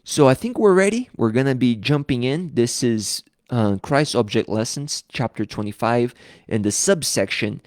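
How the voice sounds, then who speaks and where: A man's voice: mid-range voice, expressive, moderate pace, a little mellow, no echo, clean studio sound. A man from Brazil with a Brazilian accent, hosting a live book-reading series in a casual, open, conversational way with frequent restarts. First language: Portuguese. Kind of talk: casual live-stream talk.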